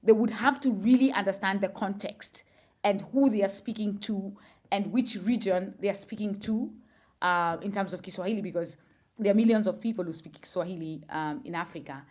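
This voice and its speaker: A woman's voice: mid-range voice, brisk, darkish tone. A woman, a guest on a podcast interview, explaining thoughtfully with examples and frequent fillers. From Kenya.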